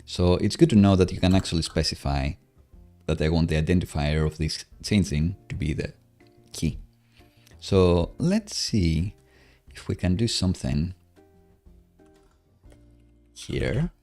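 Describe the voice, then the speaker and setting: A man giving casual live coding commentary, halting as he thinks aloud while he works. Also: low voice, expressive, darkish tone, soft background music.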